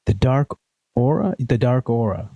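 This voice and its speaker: A man guesting on a podcast, talking in a relaxed way about his work, with a sleepy, nasal voice and many fillers. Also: medium pitch, unhurried. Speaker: guest, pedal demo creator. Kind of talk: casual interview.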